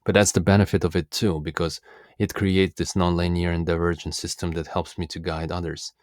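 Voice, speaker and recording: A man from Russia, a native Russian speaker, giving a reflective, philosophical self-help monologue in an earnest, deliberate manner as a coach. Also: deep voice, little pitch movement, a little mellow, no echo, studio quality.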